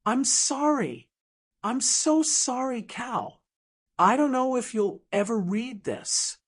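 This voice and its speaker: A woman playing a wounded, regretful woman in an audio drama, confessing in an earnest, halting, emotional way. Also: fairly high pitch, lively intonation, husky, voice pushed up, exaggerated voice. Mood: tender, apologetic. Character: wounded, regretful woman confessing her fears to a lost love.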